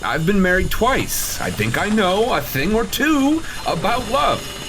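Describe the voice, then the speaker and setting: Brief: wisecracking builder, higher voice, lively. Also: male voice, measured pace, slight background noise, full character voice, poor audio. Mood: teasing. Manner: teasing, sarcastic. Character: wisecracking construction worker, married twice. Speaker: construction worker. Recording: music under dialogue.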